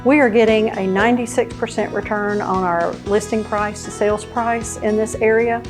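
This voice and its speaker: A woman real estate broker giving a brisk, salesy ad read. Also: medium pitch, steady tone, music in the background. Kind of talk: scripted ad read.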